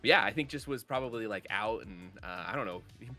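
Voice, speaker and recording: Man, deep voice, lively up-and-down delivery, rambling player, faint music. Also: a little fast. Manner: hesitant, rambling, with many fillers. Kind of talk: describing his character in a game.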